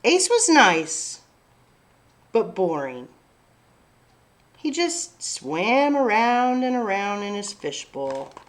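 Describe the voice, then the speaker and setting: A woman reading a picture book aloud to children, narrating expressively with deliberate, dramatic phrasing. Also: mid-range voice, sing-song. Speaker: children's story-time reader.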